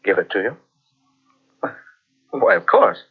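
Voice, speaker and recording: A nervous houseguest who keeps calling out and pressing for answers in a vintage radio thriller. His low, husky voice swings widely in pitch. It comes through a dull, muffled old broadcast recording. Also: wildly swooping pitch, slow, full character voice. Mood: uneasy. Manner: urgent, insistent calling out. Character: anxious male houseguest hearing howling. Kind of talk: 1940s radio acting.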